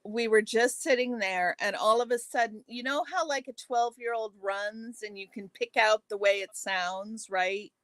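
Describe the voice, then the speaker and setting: A woman's voice: on the high side, normal speed, hardly any pauses, fairly bright tone, dry recording. A woman in casual conversation who tells stories earnestly. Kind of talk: casual chat.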